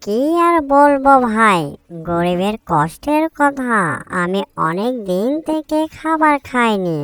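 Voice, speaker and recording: A woman's voice: a little high, expressive, takes it slowly, fairly bright tone, no room sound. A woman voicing a poor, hungry cartoon ant, earnest and plaintive in the way it talks. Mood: sad, worried. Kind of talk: cartoon dialogue.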